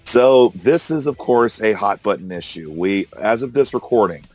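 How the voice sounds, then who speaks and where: Hoarse low-voiced man hosting. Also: animated, moderate pace, a little mellow, dry recording, faint music behind, rough recording. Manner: argumentative, incredulous, laughs often. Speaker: podcast host. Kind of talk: casual political discussion. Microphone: call recorder.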